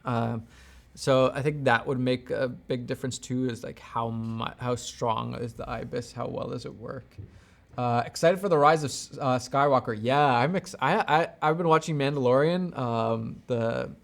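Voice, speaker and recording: A man with plenty of vocal fry talks casually as a livestream host. He thinks aloud and rambles, often doubling back on his own thought. His delivery is unscripted and conversational, like an online creator answering questions from viewers. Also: mid-range voice, moderate pace. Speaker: camera gear YouTuber hosting a livestream.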